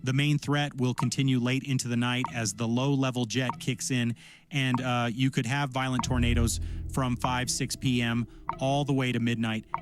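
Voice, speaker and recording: A man's voice: mid-range voice, little pitch movement, moderate pace. A man giving an analytical, off-the-cuff forecast of severe weather in technical language. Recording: music clearly behind the voice. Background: music.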